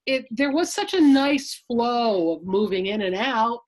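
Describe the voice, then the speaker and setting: Woman in her sixties, raspy and slightly high, informal first-person testimonial. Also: expressive, a little slow. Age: 60s. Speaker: trip participant giving a testimonial. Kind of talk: casual personal recollection.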